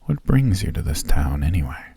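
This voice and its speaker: A man voicing a gracious elf who has lived for centuries, speaking in an ASMR roleplay. His voice is very deep, mellow and husky, and it sits dry and close to the mic. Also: sing-song, moderate pace, dry recording, exaggerated voice. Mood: friendly. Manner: gracious, formal and softly spoken. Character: ancient male elf host, courteous and genteel.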